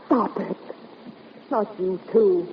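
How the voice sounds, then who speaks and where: An actress playing a frightened, brittle, bitter young niece in a 1950s radio drama. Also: female voice, mid-range voice, slow, natural voice. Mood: defensive. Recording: muffled, noisy 1950s radio recording.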